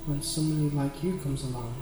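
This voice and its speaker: A man's voice: fairly high pitch, fairly even intonation, close to an everyday voice. Male actor as a seductive, possessive demon for roleplay audio; formal, condescending, heavily echoed, set over music. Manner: measured, formal, condescending phrasing. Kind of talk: scripted ASMR roleplay addressed to the listener. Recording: very echoey, distant, music behind.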